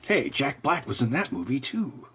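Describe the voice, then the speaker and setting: A man's voice: medium pitch, sing-song, normal speed, pushed and bright, slight background noise. A man hosting a live stream, rambling casually and joking, laughing often.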